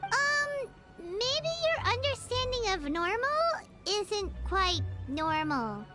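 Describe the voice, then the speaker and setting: A woman voicing a small, childlike fairy companion in a video game, squeaky, excitable and easily flustered, with dramatic exclamations. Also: takes it slowly, heavily acted. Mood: puzzled. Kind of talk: animated video game voice acting. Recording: loud game music behind the voice.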